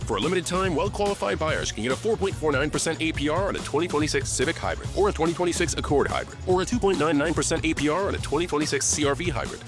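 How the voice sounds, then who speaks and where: A man's voice: fairly high pitch, expressive, racing, hardly any pauses. An American man reading a scripted car commercial as an announcer. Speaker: advert announcer. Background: music bed.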